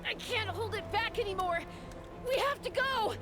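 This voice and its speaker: A woman's voice: very high voice, normal speed. An actress playing a bold, stubborn young woman warrior in a fantasy video game, with dramatic delivery. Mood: defiant, urgent. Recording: game stream with loud music. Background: loud game music.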